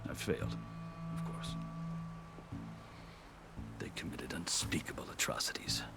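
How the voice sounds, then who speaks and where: A very low-pitched, weathered soldier of high rank speaks with formal, measured eloquence. He carries himself like a proud storyteller in a game cutscene, with music clearly playing behind him. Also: animated, exaggerated voice. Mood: wry, solemn. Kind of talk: video game cutscene acting.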